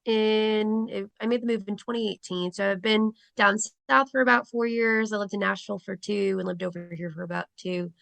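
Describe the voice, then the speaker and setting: A woman's voice: medium pitch, steady tone, moderate pace, creaky, few pauses, fairly bright tone, no echo. A woman in her twenties from Rhode Island, a teacher and coach talking casually as an interview guest, chatty with lots of ums. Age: 20s.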